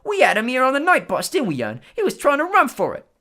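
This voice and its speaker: Stan, a loud, excitable London bus conductor. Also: sits high, fast, pitched above the performer's own voice, heavily acted. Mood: excited gossip. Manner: loud, excitable, working-class London speech. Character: chatty young male bus conductor, excitable gossip.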